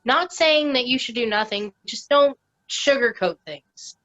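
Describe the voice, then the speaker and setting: Woman as a blunt board member; bright voice on muffled audio. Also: fairly high pitch, measured pace. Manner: blunt, casual. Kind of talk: staged play reading over video call. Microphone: video call.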